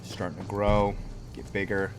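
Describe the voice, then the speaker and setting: Low-voiced, unhurried man doing game commentary. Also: little pitch movement, background noise. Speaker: game streamer, host of a roleplay series. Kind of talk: casual gameplay commentary, thinking aloud. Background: game music.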